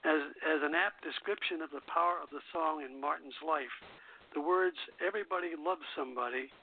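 Gravelly man hosting over a tinny line. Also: a little high, brisk. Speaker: radio show host. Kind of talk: chatty music-show commentary, partly read from notes. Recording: tinny phone-like radio stream.

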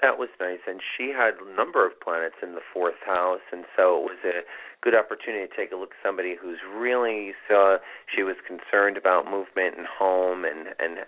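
A man with a fairly low voice hosts a call-in radio show and talks callers through their readings. He is calm and reassuring, with plenty of "you know" along the way. It all comes through a narrow, tinny broadcast band. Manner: reassuring, conversational, says "you know" often. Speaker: astrologer radio host.

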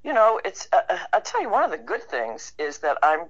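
A woman's voice: medium pitch, wildly swooping pitch, fairly quick, highs cut off. A woman chatting casually as an interview guest, wry and anecdotal, easing hesitantly into her stories. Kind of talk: casual conversational chat.

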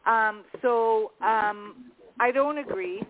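A woman's voice: fairly high pitch, takes it slowly. A woman from Canada talking in a casual group podcast chat, hesitant with many ums and restarts. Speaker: podcast panelist. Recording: phone-like call audio.

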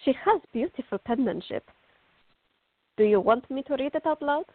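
A woman's voice: sits high, animated, normal speed, no room sound. A woman acting a fiery, stubborn, sarcastic teenage girl in a radio drama. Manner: headstrong, sarcastic. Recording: muffled, phone-like narrow-band audio.

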